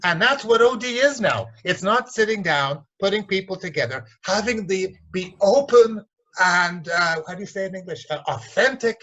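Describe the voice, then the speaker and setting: Blunt, animated man on a lo-fi call. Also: high voice, moderate pace. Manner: blunt, animated, mimics voices in stories. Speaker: organisation development consultant. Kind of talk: candid interview storytelling.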